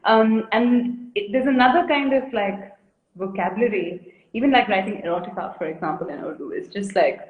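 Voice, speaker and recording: A woman's voice: mid-range voice, moderate pace, narrow, tinny audio. A woman from India in her thirties, a guest artist chatting frankly and at length on a video call, laughing often. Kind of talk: casual interview chat. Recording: echoey room.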